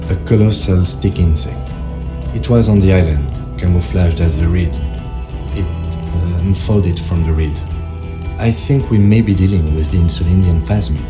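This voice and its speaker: An actor playing a composed, precise police lieutenant with a measured, formal, dry delivery in a video game. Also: male voice, deep voice, music in the background, lightly acted. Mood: earnest.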